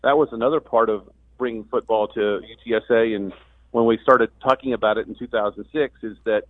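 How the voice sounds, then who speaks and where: A man talking as a guest sportscaster on a sports talk radio show, explaining things in a halting way with repeated words. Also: lowish voice, steady tone, dry recording. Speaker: radio play-by-play announcer as guest. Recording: thin phone-like line.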